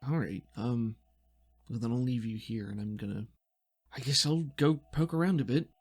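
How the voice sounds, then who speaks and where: A man voicing an anxious woman in a tabletop game, hesitant, unsure and halting. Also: medium pitch, close to an everyday voice. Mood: uneasy, caring.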